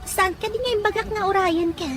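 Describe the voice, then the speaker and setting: A woman's voice: extremely high pitch, brisk, music in the background. A woman acting a chatty, headstrong young Ilocano daughter in an audio drama. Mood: chatty, eager. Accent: Filipino, Ilocano. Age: young adult.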